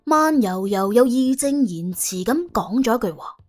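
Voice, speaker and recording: A woman with a fairly high voice narrates in colloquial Cantonese. Her storytelling is animated and wry, swinging widely in pitch, with faint music behind her. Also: moderate pace. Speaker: audiobook narrator. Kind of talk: colloquial, expressive scripted storytelling.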